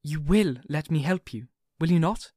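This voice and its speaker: A male narrator putting on a young woman in an audiobook, grieving yet resolute, who speaks with soft earnest warmth. Her voice sits clearly higher than the narrator's own. The sound is dry and close. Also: lively intonation, moderate pace, dry recording.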